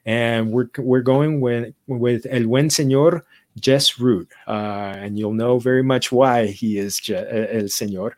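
A man's voice: medium pitch, a little slow, no echo, studio quality. A man hosting a podcast, chatting casually, slipping Spanish words into his English between frequent fillers. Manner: mixes Spanish phrases into English, many fillers. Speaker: podcast host. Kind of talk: casual interview chat.

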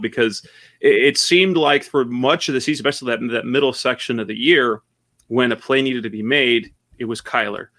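A man from Arizona, a guest on a podcast, explaining football in long, steady, analytical sentences. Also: medium pitch, no echo, studio quality. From Arizona, USA. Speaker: podcast guest, sports site manager. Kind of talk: casual interview answers.

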